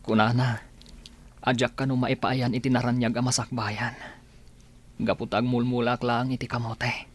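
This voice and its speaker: A man's voice: mid-range voice, little pitch movement, normal speed, long pauses, natural voice. A man playing a humble, lovelorn young village suitor with wounded pride, in an Ilocano comedy radio drama. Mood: hurt, dejected.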